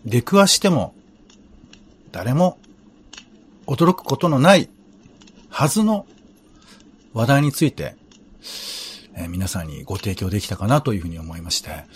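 Low-pitched man, measured and formal. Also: expressive, a little hiss or hum. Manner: measured, mock-formal, deliberate. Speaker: show presenter. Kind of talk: relaxed round-table chat.

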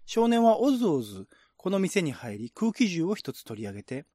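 A man's voice: sits high, very animated, moderate pace, dark tone, no echo, clean studio sound. A man reading scripted narration in a radio-drama style, as the narrator of a screenplay.

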